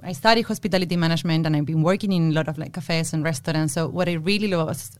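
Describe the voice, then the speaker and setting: A woman's voice: low-pitched, very fast, no echo. A young adult woman from Argentina with an Argentinian accent, talking enthusiastically on a podcast, using "like" a lot. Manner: enthusiastic, with frequent "like" fillers. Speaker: guest, campaign staff. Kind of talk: podcast interview.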